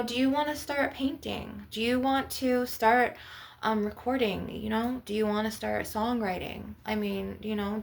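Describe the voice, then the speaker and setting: Creaky-voiced woman, casual spiritual ASMR. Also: mid-range voice. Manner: informal, encouraging, repeatedly addressing the listener directly. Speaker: ASMR energy healer channel host. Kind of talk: ASMR-style spiritual coaching, casual chat.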